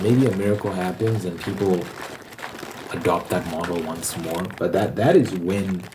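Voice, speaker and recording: Low-pitched man rambling in ASMR style. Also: background noise. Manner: hesitant, repeating words, informal. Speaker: host. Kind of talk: casual rambling ASMR monologue.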